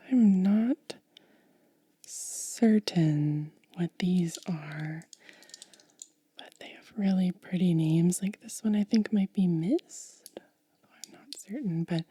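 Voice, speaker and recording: A woman speaking in a soft, breathy whisper as an ASMR host, gentle and unhurried, close to the microphone. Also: mid-range voice, no echo. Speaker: ASMR video creator and host.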